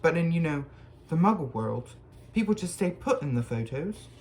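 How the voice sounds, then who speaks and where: A reader putting on a polite, curious schoolboy, plain and a little hesitant, with a lively lilt. It sits close to the teller's own voice in this storybook reading. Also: mid-range voice, normal speed, a little hiss or hum.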